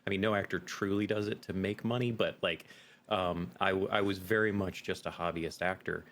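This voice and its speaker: A man's voice: deep voice, steady tone, a little fast, fairly bright tone. A middle-aged man with an American accent, talking casually as a guest in an interview. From United States. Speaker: podcast guest, game master.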